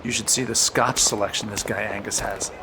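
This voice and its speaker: A man's voice: on the low side, steady tone, brisk, noisy background, natural voice. An actor playing a pragmatic, casual young male captain with a down-to-earth manner in an audio drama. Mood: friendly, casual. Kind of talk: full-cast audio drama acting. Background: music.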